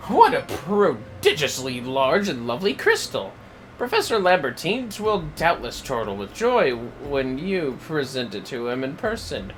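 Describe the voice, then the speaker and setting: A man's voice: mid-range voice, wildly swooping pitch, unhurried, slight background noise, rough recording. A man voicing an effusive, self-important video game character in a florid, theatrical manner.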